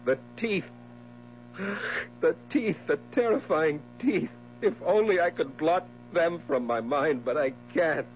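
An actor as a tormented widower, muffled old radio. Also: male voice, a little high, close to an everyday voice. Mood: haunted dread. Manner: overwrought, halting, brooding, theatrical. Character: tormented haunted widower sliding into madness. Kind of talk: 1970s radio drama acting. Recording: muffled, noisy 1970s radio broadcast with hum.

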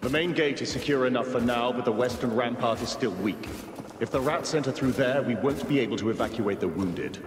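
A man's voice: mid-range voice, fairly quick, natural voice. A man playing a war-weary, commanding lord in a video game, grave and resolute. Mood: commanding, urgent. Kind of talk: video game cutscene acting. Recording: reverberant hall with faint ambient noise.

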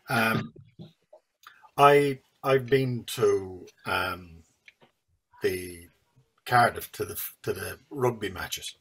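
A man's voice: fairly low pitch, creaky, fairly bright tone, husky, low-quality recording. A man in his sixties on a video call, speaking hesitantly and restarting often. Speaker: seminar participant. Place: room indoors.

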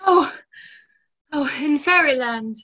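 A woman's voice: sits high, animated, slow pace, bright, forceful tone, full character voice. An actress in her twenties playing a dreamy, fanciful young woman in a play reading over a video call. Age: 20s. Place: small indoor room, video call. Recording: dull video-call audio.

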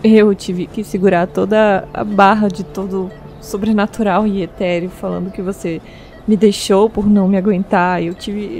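A woman playing a halting, burdened young woman. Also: mid-range voice, dark tone, slight background noise, close to an everyday voice. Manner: hesitant, halting, emotional. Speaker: audio drama actor. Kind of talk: audio drama acting.

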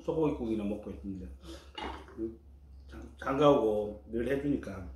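A man's voice: on the low side, normal speed, a little mellow. A middle-aged man giving casual commentary while eating at home, in short remarks between bites. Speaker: mukbang vlogger. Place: small room at home, indoors. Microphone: camera across the dining table. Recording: echoey room, faint background noise.

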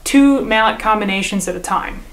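A young woman calmly and patiently explaining a percussion technique, recorded at home by a camera a few feet away. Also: mid-range voice, normal speed, roomy sound, background noise. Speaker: percussion teacher. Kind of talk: instructional demonstration. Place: living room at home.

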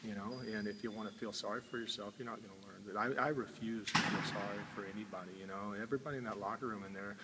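A man in his forties, a college football head coach, giving a halting postgame interview indoors and repeating his words often. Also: lowish voice, fairly even intonation. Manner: halting, repeats words, many filler sounds. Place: indoor room before a sponsor backdrop. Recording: long room reverb with faint hiss.

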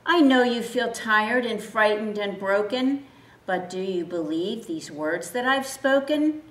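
A gentle, wise bearded man speaks in a soft, calm, tender voice, read by a woman storyteller. The delivery is slow. The sound is roomy and set back from the mic. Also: mid-range voice, recorded from a distance.

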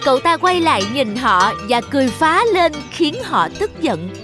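High-voiced woman storyteller over music. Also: sing-song, moderate pace. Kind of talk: scripted children's story narration.

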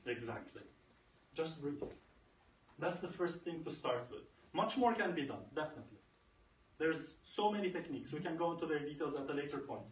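A man's voice: fairly high pitch, lively intonation, normal speed. Emphatic man speaking in an echoey room. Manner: emphatic, repeating phrases for effect. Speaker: conference speaker. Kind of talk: motivational tech talk. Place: conference room. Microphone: far camera. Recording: echoey room, far from camera, faint noise.

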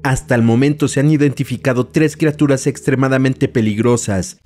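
A man's voice: mid-range voice, fairly quick, mellow. A man hosting as an explainer, reading scripted narration. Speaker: host, explainer.

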